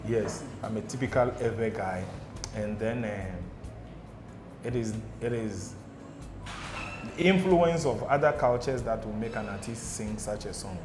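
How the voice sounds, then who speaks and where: A man's voice: lowish voice, a little slow. Ghanaian guy on a talk show, keeps asking "you understand?", echoey room with music behind. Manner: animated storyteller, repeats "okay?" and "do you understand?". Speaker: guest. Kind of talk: casual studio interview.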